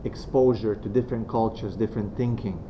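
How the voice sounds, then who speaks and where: Low-voiced man in a muffled, noisy recording. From Romania. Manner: soft-spoken, unhurried, many pauses and fillers. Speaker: guest speaker. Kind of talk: religious talk to students. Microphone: venue microphone. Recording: dull lo-fi sound with clear background noise.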